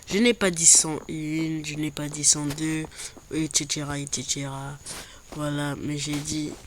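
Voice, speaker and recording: A woman with a cold and a stuffy voice, chatting slowly through a building game, often repeating herself. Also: low voice, level tone, a little hiss or hum. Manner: slow, repeats words while building. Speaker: Minecraft YouTuber. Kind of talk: casual gameplay commentary.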